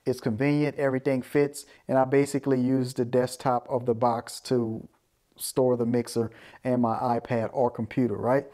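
A man's voice: mid-range voice, little pitch movement, normal speed, dark tone. A man giving a casual hands-on gear walkthrough as a presenter, explaining step by step and often checking in with "right?". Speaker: presenter of an audio gear video. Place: small carpeted room indoors.

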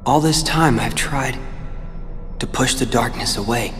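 An actor playing a brooding, quiet teenage boy in a video game cutscene. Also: mid-range voice, a little slow, natural voice. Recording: reverberant with music.

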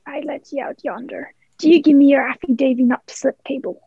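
A young woman plays a sly pirate leader in a radio drama, talking in salty dialect. The voice is high and gravelly, on a dull, dry lo-fi recording. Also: steady tone, brisk, mellow, no room sound. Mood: commanding. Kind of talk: stage-style radio play acting.

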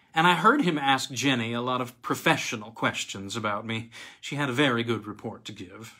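A middle-aged man reading a literary story aloud expressively, with dramatic, halting delivery and emphatic pauses. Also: mid-range voice, sharp tone. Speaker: reader of weird tales. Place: small home room with bookcase, indoors.

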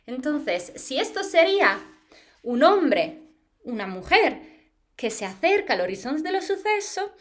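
A woman in her thirties from Italy with an Italian accent, a postdoctoral researcher explaining astronomy to school students in an expressive, lively way, recorded in a small plain room by a camera a few feet away. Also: sits high, measured pace. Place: small plain indoor room against a wall. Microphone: camera a few feet away, head to waist framing.

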